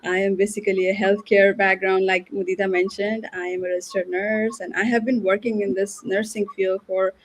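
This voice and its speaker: A woman's voice: mid-range voice, little pitch movement, a little fast, few pauses, slight background noise, rough recording. A woman in her late thirties from Nepal, a guest on a live video call, talking earnestly and repeating words as she thinks. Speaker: guest, nurse and business owner. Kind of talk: live online interview.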